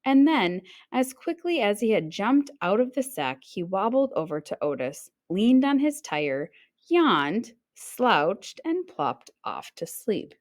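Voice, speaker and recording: Lively woman reading a storybook aloud. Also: medium pitch, takes it slowly. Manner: expressive, acting out sounds and exclamations. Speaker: teacher reading a picture book aloud. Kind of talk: children's storybook read-aloud narration.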